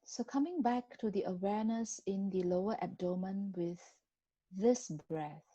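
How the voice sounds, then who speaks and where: A woman guiding a body scan meditation in a gentle, unhurried manner with long pauses between phrases. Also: medium pitch, dry recording, dull audio. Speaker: meditation guide.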